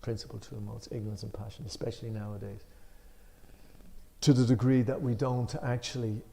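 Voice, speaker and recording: A man with an Irish accent leading a scripture discussion, reading a commentary aloud with emphasis and then explaining it in a relaxed way, with some fillers. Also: lowish voice, brisk, vocal fry, slight background noise.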